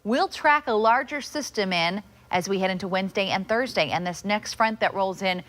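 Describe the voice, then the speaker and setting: American woman meteorologist, mid-pitched. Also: normal speed, a bit bright. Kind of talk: live weather forecast commentary.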